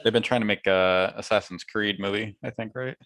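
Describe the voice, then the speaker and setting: Male co-host, somewhat low voice, casual conversational delivery. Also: fairly even intonation, fairly quick, fairly bright tone. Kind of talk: casual chat.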